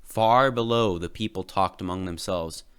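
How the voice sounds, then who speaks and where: A man reading a storybook aloud with expressive, dramatic narration. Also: low voice, moderate pace, clean tone. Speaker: storybook reader.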